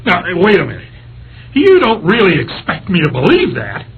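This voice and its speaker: High-pitched, flustered investigator. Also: male voice, wildly swooping pitch, moderate pace, phone-quality sound, exaggerated voice. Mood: indignant. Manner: officious, hesitant. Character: earnest, self-important UFO investigator, easily flustered. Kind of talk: vintage-style radio drama acting. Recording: band-limited radio sound, faint noise.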